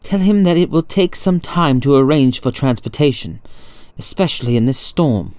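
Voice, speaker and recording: Sharp detective, played by a male narrator, formal, incisive, thoughtful, animated pitch, crisp, storybook mystery. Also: moderate pace, no echo, close to an everyday voice. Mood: murmured, wary.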